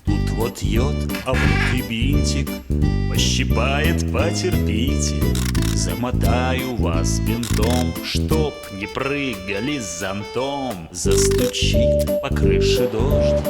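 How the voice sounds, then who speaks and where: A performer playing a kindly grown-up male doctor who sings a jaunty, instructive children's cartoon song. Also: mid-range voice. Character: kindly singing doctor, a grown man, gently moralizing. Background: loud children's music with guitar.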